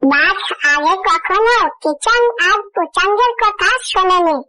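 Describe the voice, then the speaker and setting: A woman's voice: very high voice, animated, unhurried, pushed and bright, no room sound. A woman reading a scripted fairy tale for children as the storyteller.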